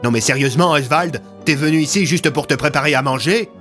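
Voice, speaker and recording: A man's voice: on the high side, fairly quick, fairly bright tone, pitched above the performer's own voice, natural voice. Narrator guy doing a fed-up whiny blunt man, incredulous, music in the back. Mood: incredulous, annoyed. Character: grumbling, foul-mouthed, exasperated man. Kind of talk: audio fiction character acting.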